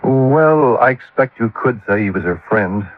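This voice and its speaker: An actor playing a gruff, sceptical middle-aged husband and father with a blunt, scolding way of talking in a radio drama. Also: medium pitch, lively intonation, unhurried, dry recording, natural voice. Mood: weary, frank. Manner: blunt, scolding, plain-spoken. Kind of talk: 1950s radio drama acting. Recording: tinny vintage radio, band-limited.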